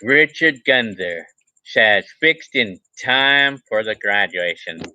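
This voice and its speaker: A man's voice: fairly low pitch, expressive, takes it slowly, pushed and bright, no echo, muffled recording. A man in his forties on a casual livestream, reading viewer comments aloud and rambling in a repetitive way, restarting his phrases. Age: 40s. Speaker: livestream vlogger.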